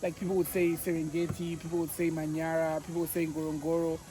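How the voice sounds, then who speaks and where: A man's voice: fairly high pitch, completely flat delivery, fast talker, dark tone, soft background music. A man from Tanzania whose first language is Swahili, a guest on a vlog, talking outdoors by a lake in a thoughtful, hesitant way. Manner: thoughtful, hesitant, with fillers and restarts. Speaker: guest, travel vlogger. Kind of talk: casual chat.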